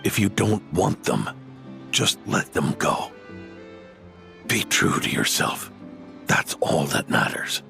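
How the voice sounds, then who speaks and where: A man's voice: deep voice, very animated, slow, creaky, pauses often, bright, forceful tone, faint music behind, exaggerated voice. An actor playing an elderly, kindly knight in a video game, fatherly and reassuring. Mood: weary, dying. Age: older. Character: kindly, brave elderly male knight, gentle grandfather.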